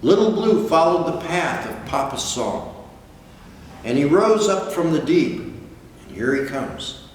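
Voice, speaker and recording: An older man reading a picture book aloud, pausing to add explanatory asides for listeners. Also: on the high side, big pitch swings. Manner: expository, points out pictures to listeners. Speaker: guest storybook reader. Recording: distant, very echoey hall, faint noise.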